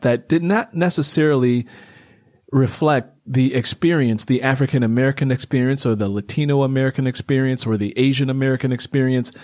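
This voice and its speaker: A man in his fifties hosting a conversational interview, reflective and halting as he works out his phrasing. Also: mid-range voice, a little mellow, dry recording, studio quality. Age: 50s. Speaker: interview host.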